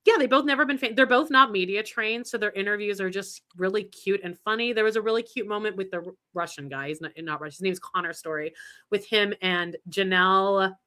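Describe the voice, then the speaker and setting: A woman's voice: mid-range voice, fairly quick, bright, forceful tone. A woman co-hosting a podcast in casual pop-culture chat, rambling and enthusiastic with lots of "like" and restarts. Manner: rambling, heavy use of "like", frequent restarts; chatty and enthusiastic. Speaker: podcast co-host.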